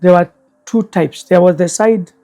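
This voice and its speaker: An older man, a guest elder recalling the past in slow, measured speech. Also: high-pitched, mellow. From Kenya. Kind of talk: reflective storytelling interview.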